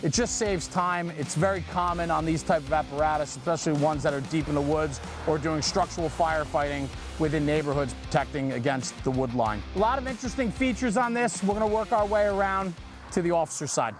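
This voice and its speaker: A man's voice: fairly high pitch, a little fast, over music. A man hosting an equipment walkaround in a conversational, explanatory manner. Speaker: host presenting fire apparatus walkaround. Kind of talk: on-camera walkaround presentation.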